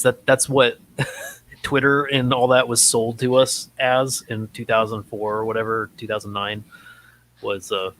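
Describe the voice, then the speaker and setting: A man co-hosting a casual, unscripted talk show, speaking in a halting way with frequent ums and pauses. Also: mid-range voice, fairly bright tone, low-quality recording.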